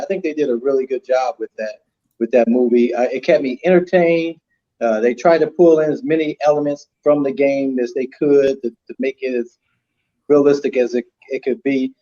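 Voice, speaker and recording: A man's voice: mid-range voice, dark tone. A man giving opinions in a casual panel chat, somewhat hesitant and repeating words, on lo-fi audio. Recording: dull lo-fi audio.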